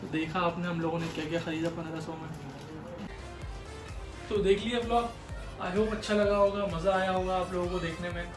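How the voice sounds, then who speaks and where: A man's voice: sits high, steady tone, fairly quick, pauses often, echoey room, music in the background, low-quality recording. A young man hosting his own vlog, chatting casually to the camera from a small shop room and asking viewers for support. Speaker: vlogger host.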